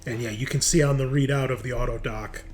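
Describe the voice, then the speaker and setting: A man narrating an improvised tabletop game as game master, in a halting, conversational way. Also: medium pitch, fairly even intonation, darkish tone, soft background music. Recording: clearly noisy background.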